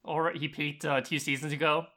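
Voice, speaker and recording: A man's voice: fairly high pitch, flat delivery, moderate pace, sharp tone. A man co-hosting a podcast in casual chat, somewhat tentative and given to joking. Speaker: podcast co-host.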